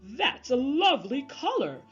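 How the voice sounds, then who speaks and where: A woman's voice: sits high, very animated, unhurried, lo-fi sound, faint music behind, pitched above the performer's own voice. A woman voicing a polite, well-meaning, misunderstood male wolf in a children's storybook. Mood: courteous. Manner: courteous, wistful, exclaiming when baffled.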